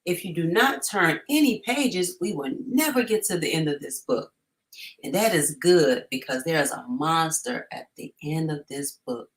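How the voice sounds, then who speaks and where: A woman's voice: low-pitched, expressive, moderate pace. A woman reading aloud as a lovable furry monster from a storybook, anxious and dramatically pleading. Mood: pleading worry.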